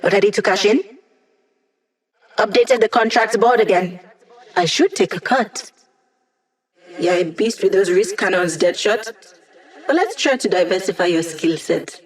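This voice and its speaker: A woman's voice: medium pitch, unhurried, pauses often, natural voice. Game role: actress as young female tech expert, snarky, playful, upbeat, conversational delivery with a teasing edge. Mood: wry, teasing. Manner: wry, casual, playful teasing. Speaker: video game support character. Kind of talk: video game voice acting.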